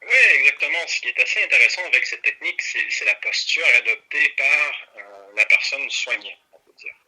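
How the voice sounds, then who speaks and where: A man's voice: mid-range voice, a little fast, pushed and bright, poor audio. A middle-aged man from Montreal, a native English speaker with an English Canadian accent, explaining his work as an interview guest. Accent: English Canadian accent in French. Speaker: interview guest, neurofeedback practitioner and trainer.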